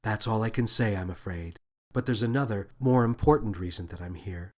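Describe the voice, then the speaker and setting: A man voicing a gentle, wise female alien officer with a soft, soothing, measured delivery in an audio story. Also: fairly low pitch, natural voice. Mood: solemn. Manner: calm, measured, thoughtful.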